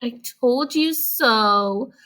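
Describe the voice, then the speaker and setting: A woman's voice: fairly high pitch, very slow, pushed and bright, pitched above the performer's own voice, exaggerated voice, poor audio. A woman voicing a skeptical, knowing little sister cat in a children's storybook, with a pointed, doubting manner. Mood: skeptical, smug.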